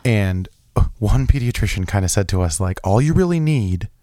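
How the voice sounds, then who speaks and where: A young man with a lowish, slightly hoarse voice, still getting over a recent illness. He talks in an animated, rambling way, full of fillers and restarts. The recording is close and dry, with no room sound. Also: moderate pace, dry recording. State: low, hoarse after being sick. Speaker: podcast co-host. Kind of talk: casual chat.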